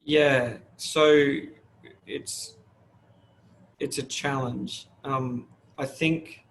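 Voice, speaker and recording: A man's voice: mid-range voice, steady tone, extremely slow, vocal fry, fairly bright tone, slight background noise, low-quality recording. A middle-aged man, a fire service manager talking openly in an interview, restarting his sentences often. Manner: open, rambling, many restarts. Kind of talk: casual interview talk.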